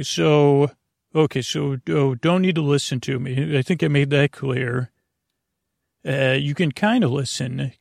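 A man hosting a bedtime podcast, rambling and halting as he loses his thread, with gentle, silly deadpan humor. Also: mid-range voice, vocal fry, no room sound, clean studio sound. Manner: meandering, halting, often losing his thread. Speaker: podcast host.

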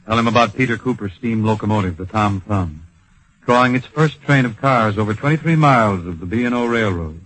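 An actor playing a solemn, compassionate narrator, grave and measured, in a scripted old-time radio drama. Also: male voice, fairly low pitch, animated, fairly bright tone, poor audio. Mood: stirring, patriotic. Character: solemn storyteller, compassionate and patriotic.

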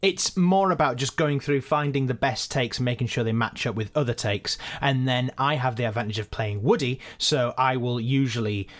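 A man's voice: medium pitch, normal speed, few pauses. A man giving an informal behind-the-scenes explanation as a podcast co-creator and editor.

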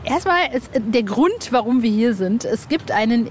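A woman hosting a podcast in a lively, informal and chatty way, with playful asides. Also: on the high side, normal speed, slight background noise. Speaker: podcast host.

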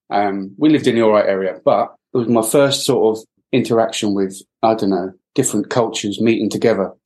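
A man's voice: fairly low pitch, dark tone. A middle-aged man from Wales, a podcast guest, talking reflectively with many fillers and restarts. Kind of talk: reflective interview talk.

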